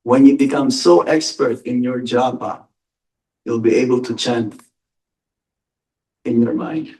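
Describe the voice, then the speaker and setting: A man speaks as a monk in a question-and-answer lecture, slow and deliberate, stressing his key words. His voice sits at a middle pitch and is caught by a distant mic in a hall. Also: fairly even intonation, darkish tone. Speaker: monk giving a spiritual talk.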